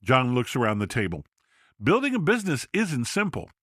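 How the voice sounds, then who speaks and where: A man narrating a scripted story for a podcast in a steady, measured way. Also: medium pitch, dry recording, clean studio sound.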